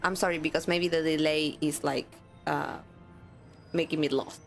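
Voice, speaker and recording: A woman's voice: sits low, steady tone, normal speed, gravelly, soft background music. A woman streamer with a stuffy nose, chatting casually with viewers while playing a game. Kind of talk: live gaming stream chat.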